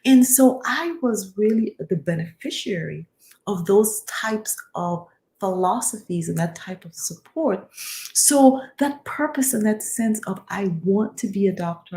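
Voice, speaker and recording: A woman from the Caribbean, born in Guyana and raised in Barbados, a guest author telling her story in an interview with an earnest, measured manner. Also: medium pitch, mellow. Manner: earnest and measured, builds lists of phrases.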